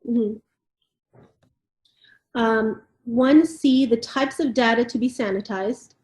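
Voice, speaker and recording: A woman's voice: a little high, slow, a little mellow. A woman co-hosting, reading a formal standard aloud and discussing it informally. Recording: echoey room.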